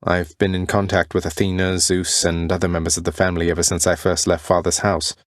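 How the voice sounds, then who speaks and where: A male actor voices a young prince of the underworld in a dramatic video game. His voice sits fairly low and is recorded dry and close. He is earnest and defiant, with formal, slightly archaic phrasing that turns halting when feelings rise. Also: flat delivery, no room sound, lightly acted. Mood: explaining, earnest. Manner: earnest, formal old-fashioned wording, halting when emotional. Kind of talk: video game character dialogue, dramatic acting.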